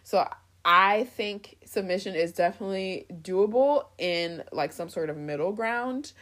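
A woman's voice: mid-range voice, measured pace, fairly bright tone. A woman from Africa co-hosting a podcast, playful and agreeable, giggling between points. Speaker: podcast co-host. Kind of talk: casual chat.

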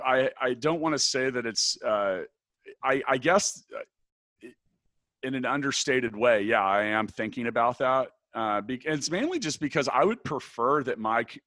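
A man with a big, deep voice answering interview questions thoughtfully, restarting his sentences often. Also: fairly bright tone, no room sound. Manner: thoughtful, many restarts and fillers. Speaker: podcast guest, business founder.

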